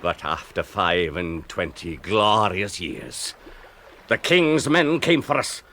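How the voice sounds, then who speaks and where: A man's voice: low voice, animated, slow pace, sharp tone, a little hiss or hum, heavily acted. An actor playing a boastful, gleeful Scottish cannibal outlaw in a radio play, telling his tale theatrically and with relish. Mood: rousing.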